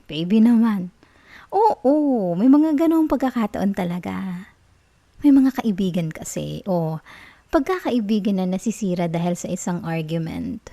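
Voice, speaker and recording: Woman playing a soft, mellow, clingy Filipino girlfriend. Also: medium pitch, no room sound. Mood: sweetly reassuring. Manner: coaxing, cooing, giggly reassurance. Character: obsessive, clingy young college girlfriend, sweetly manipulative. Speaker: ASMR roleplay performer. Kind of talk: intimate ASMR girlfriend roleplay.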